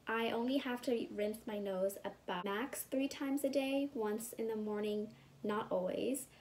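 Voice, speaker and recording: A young woman talks through a relaxed personal vlog update, her voice sitting a little high. She rambles loosely with lots of "um" and "like," and sounds a bit congested as she recovers. A faint hum of room ambience sits behind her. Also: a little slow. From California. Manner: rambling, chatty, with fillers like "um" and "like". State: congested and recovering after surgery, sometimes whispering. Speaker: vlogger.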